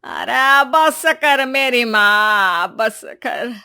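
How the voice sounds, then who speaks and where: A woman voicing a nagging, sly older mother-in-law in an animated family comedy, with drawn-out, reproachful phrasing. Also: on the high side, creaky, clean tone, exaggerated voice. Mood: exasperated.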